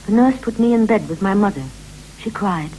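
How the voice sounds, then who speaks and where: An actress playing a wistful wife who recalls her girlhood in measured, echoing short phrases, in the style of a poetic 1960s radio play. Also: mid-range voice, animated, dark tone, close to an everyday voice. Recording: vintage 1960s radio recording with hum and hiss.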